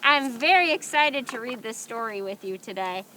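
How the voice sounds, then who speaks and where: A woman's voice: a little high, expressive, normal speed, bright, forceful tone. A woman hosting a children's storytime, presenting and reading aloud expressively as she addresses young listeners directly. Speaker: storytime host. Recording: background noise.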